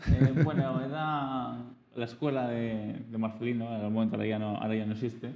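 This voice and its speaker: Soft-spoken young man in an echoing hall. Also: mid-range voice, flat delivery, fairly quick. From Spain. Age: 20s. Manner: soft-spoken, hesitant, short answers. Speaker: guest chess player. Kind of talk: live public interview. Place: small lecture hall. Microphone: table mic. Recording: dull reverberant hall sound.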